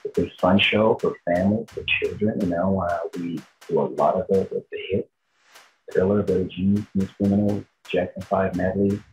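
A man's voice: low-pitched, fairly even intonation, moderate pace, faint music behind, rough recording. A man in his thirties from Brazil with a Brazilian accent, giving informal interview answers as a performer. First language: Portuguese. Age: 30s. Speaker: tribute show performer, interviewee.

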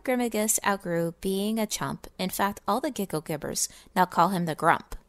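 A woman giving a dramatic, expressive storybook reading in sing-song rhyming verse. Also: medium pitch, no echo. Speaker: gaming video creator reading a story.

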